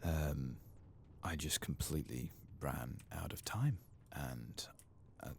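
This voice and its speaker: Very deep, creaky soft-spoken man. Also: slow pace, a little hiss or hum. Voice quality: soft-spoken, partly whispered. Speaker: host of a gaming ASMR channel. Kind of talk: soft-spoken ASMR game playthrough commentary.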